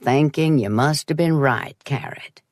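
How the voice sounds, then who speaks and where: A woman voicing a gentle, stubborn older uncle with a slow, folksy, mild delivery in an audiobook. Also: deep voice, dry recording, studio quality. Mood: pleased, earnest. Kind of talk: audiobook character voice.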